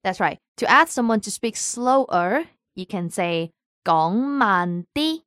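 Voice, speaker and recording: Woman teacher, says each word slow and careful, smooth clean voice. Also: mid-range voice, lively intonation, fairly bright tone, no room sound, clean studio sound. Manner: slow, careful repetition of words. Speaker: language teacher. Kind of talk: scripted language lesson.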